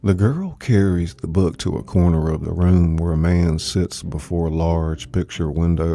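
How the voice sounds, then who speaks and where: A man's voice: low voice, mellow. A man hosting and narrating a dramatized live audiobook reading, with music playing behind him.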